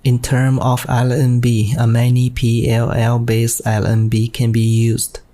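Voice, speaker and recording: A man's voice: mid-range voice, monotone, measured pace, mellow. A man from China with a Chinese accent, a native Chinese speaker, reading out a scripted technical presentation in a stiff, careful way. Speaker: amateur radio operator giving a technical presentation.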